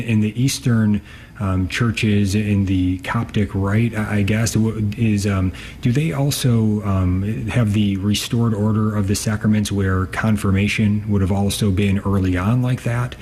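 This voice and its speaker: A man's voice: lowish voice, a little mellow, slight background noise. A man hosting a faith talk show, affirming his guest and asking questions with hesitant fillers. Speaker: host.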